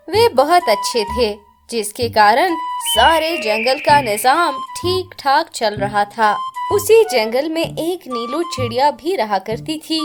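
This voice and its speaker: A woman narrating a scripted children's story. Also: high voice.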